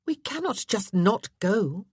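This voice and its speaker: A woman's voice: mid-range voice, very animated, slow, slightly rough, no echo, studio quality, voice pushed up, heavily acted. A woman voicing an earnest, polite wife in a period romance audiobook, with a pleading manner. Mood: earnest protest.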